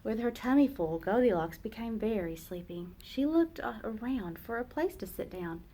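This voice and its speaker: Expressive woman reading to small children. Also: on the high side. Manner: slow, expressive storytelling for young children. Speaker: teacher. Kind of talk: children's book read-aloud.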